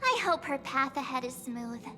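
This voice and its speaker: A woman voicing a bubbly, kind-hearted teenage girl adventurer in an anime game, perky and expressive. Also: high voice, moderate pace, in a large hall, faint music behind, full character voice. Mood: hopeful.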